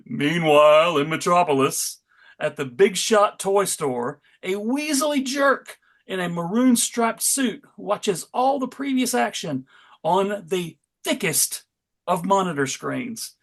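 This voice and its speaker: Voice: medium pitch, animated, slow. A comic book narrator reading scene descriptions aloud. The delivery is dramatic storytelling mixed with wry, informal asides.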